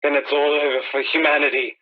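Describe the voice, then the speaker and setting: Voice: medium pitch, flat delivery, fast, natural voice. An actor plays a lost wanderer who has survived disaster in a radio drama. The delivery is hesitant, questioning and despairing. It sounds narrow and tinny, as if over a telephone. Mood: bleak, anxious. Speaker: amateur actor in a radio play. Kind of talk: dramatised radio play dialogue.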